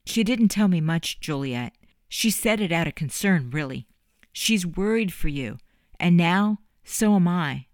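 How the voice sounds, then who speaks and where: A woman's voice: on the low side, expressive, unhurried, no echo, clean studio sound. A woman voicing an earnest, dramatic young man. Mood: pleading. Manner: earnest, pleading. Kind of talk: audiobook character voice.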